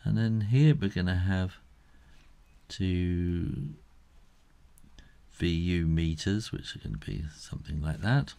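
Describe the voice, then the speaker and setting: Man, drawing tutor, gravelly creaky voice, slow pace, casual step-by-step instruction, unhurried, thinking aloud. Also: sits low, expressive, mellow.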